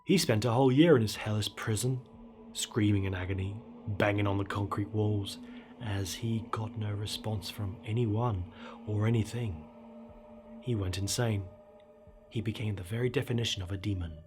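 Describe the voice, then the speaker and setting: A man's voice: fairly low pitch, fairly even intonation, normal speed, slight background noise. A man telling a scripted horror story in a theatrical, suspenseful way. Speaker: horror story narrator and channel host. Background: dark ambient music bed.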